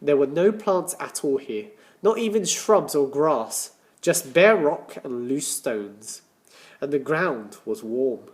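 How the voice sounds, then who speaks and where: A man reading a children's story aloud as a teacher, with deliberate dramatic emphasis and questions to his listeners. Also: on the high side, lively intonation. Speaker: primary school teacher.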